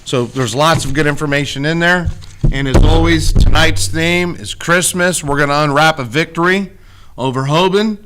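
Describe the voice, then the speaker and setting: A man whose voice is starting to go hoarse gives informal school announcements, rallying listeners with check-in questions. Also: a little high, moderate pace. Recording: clearly noisy background.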